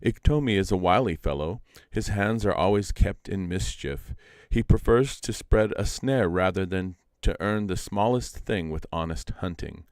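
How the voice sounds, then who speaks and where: A man reading a story aloud live as a storyteller, in a measured, deliberate and expressive way. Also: low voice, dry recording, clean studio sound.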